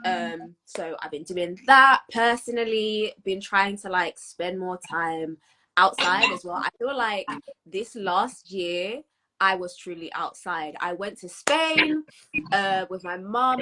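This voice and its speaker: A woman's voice: mid-range voice, slow pace, background noise. A British woman in her twenties chatting casually as a podcast co-host, emphatic and slangy, dropping in Spanish phrases. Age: 20s. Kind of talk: casual chat.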